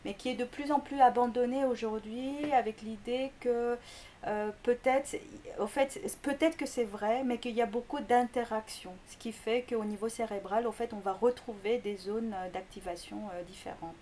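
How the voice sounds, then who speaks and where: A woman who grew up in Greece, with Korean as her first language, explaining language learning as a linguistics lecturer in an interview, often pausing on fillers and restarting. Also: fairly high pitch, steady tone, mellow, a little hiss or hum. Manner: hesitant, many fillers and restarts.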